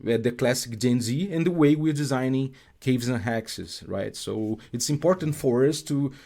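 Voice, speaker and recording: A man's voice: mid-range voice, gravelly, dark tone. A man from Brazil with a Brazilian Portuguese accent, a game designer talking in a casual podcast conversation, often stopping and restarting his sentences. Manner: halting, many restarts and fillers.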